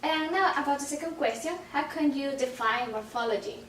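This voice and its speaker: A woman's voice: sits high, fairly quick, fairly bright tone. A woman conducting an interview, reading questions in a hesitant way in a dim room at home. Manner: hesitant, with fillers and restarts. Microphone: far camera. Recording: echoey room, distant mic, faint noise.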